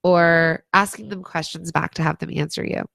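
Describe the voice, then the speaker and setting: A hoarse woman with a low, gravelly voice talks through business tips live from a phone or webcam. She is chatty and loose, full of "like" and "um" and restarts. The sound is close and dry, with no room echo. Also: steady tone, normal speed, a bit bright. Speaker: brand consultant. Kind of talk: casual livestream advice talk.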